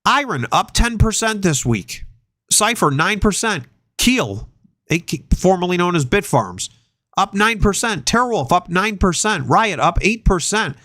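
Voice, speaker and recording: A man's voice: high-pitched, sing-song, a little slow, pushed and bright, no echo. A man hosting an investing show, congested from a sinus infection, rambling and often repeating words as he thinks aloud. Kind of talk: casual investing chat.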